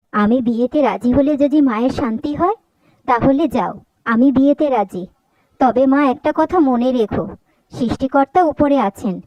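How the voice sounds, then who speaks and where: A woman's voice: high voice, no room sound, lo-fi sound, lightly acted. A woman voicing a kind-hearted, dutiful young sister in a cartoon, speaking earnestly and frankly. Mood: resigned, reproachful. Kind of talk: Bengali cartoon voice acting.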